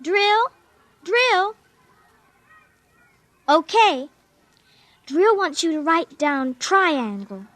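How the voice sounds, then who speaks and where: A woman's voice: very high voice, animated, extremely slow, long pauses, fairly bright tone, no room sound, exaggerated voice. Bossy, piping little girl, old radio. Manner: eager, bossy, impatient. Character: bossy, excited little girl plotting an invasion game. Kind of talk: 1950s radio drama acting.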